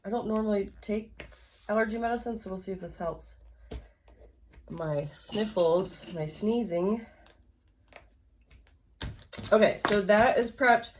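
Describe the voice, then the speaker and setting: A woman's voice: mid-range voice, slow pace, pauses often, slight background noise, poor audio. A woman teaching a sewing project in a casual, chatty way, a little congested and nasal from allergies, with frequent fillers and restarts. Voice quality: slightly nasal. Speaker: sewing instructor. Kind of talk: casual sewalong tutorial.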